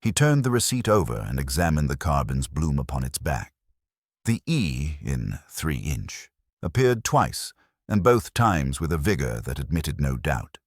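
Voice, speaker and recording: A man narrating a literary mystery story in a measured, wry, formal manner. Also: rumbling low, sing-song, no echo, clean studio sound.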